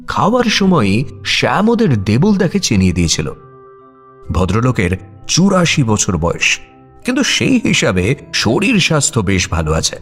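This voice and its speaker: A man narrating a scripted story in a dramatic storytelling style. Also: mid-range voice. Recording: music bed under voice. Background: background music.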